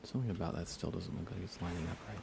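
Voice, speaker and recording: A young man softly murmuring on an ASMR gaming livestream in an unhurried, casual way, often close to a whisper, near the mic. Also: sits low, steady tone, background noise. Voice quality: soft, hushed, often slipping into breathy whisper. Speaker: livestream host.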